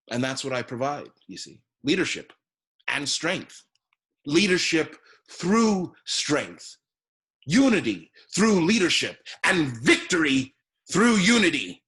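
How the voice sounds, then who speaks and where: Political candidate played by a man: pompous, evasive, animated delivery, husky forceful voice, stage-comedy style. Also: fairly high pitch, exaggerated voice. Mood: grandiose. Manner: halting, self-repeating, builds into sloganeering. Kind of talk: theatrical musical comedy acting.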